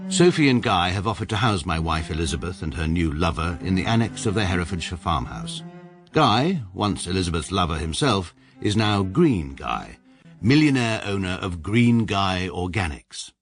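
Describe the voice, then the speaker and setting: An actor playing a short, pompous, detached middle-aged barrister who narrates his own troubles in a measured, sarcastic manner for a radio comedy drama. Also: sits low, lively intonation, soft background music. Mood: dry.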